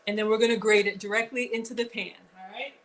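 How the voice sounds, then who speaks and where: A woman's voice: medium pitch, steady tone, fast talker, a little hiss or hum. A woman hosting a live-streamed cooking class in a home kitchen, chatting straight to her viewers with casual asides. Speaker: cooking show host.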